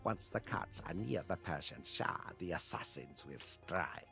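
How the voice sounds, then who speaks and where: A man's voice: low voice, expressive, a little slow, vocal fry, sharp tone, full character voice. A man playing a loyal Mongol soldier giving terse military reports to his Khan in a strategy game. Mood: low, scheming. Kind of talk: dramatic game dialogue. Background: music.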